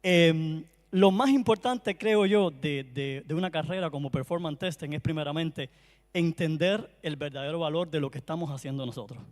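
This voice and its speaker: A man's voice: on the high side, moderate pace. A man with a Cuban Spanish accent giving a conference talk, chatty and friendly, with frequent hesitations and restarts. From Cuba. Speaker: conference speaker. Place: conference hall. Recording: long hall reverb.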